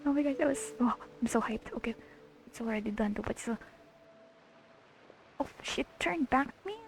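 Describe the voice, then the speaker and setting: A young woman giving casual, excitable, slangy reaction commentary while playing a video game. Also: fairly high pitch, normal speed, low-quality recording. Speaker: gamer recording her playthrough. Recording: game music under the commentary.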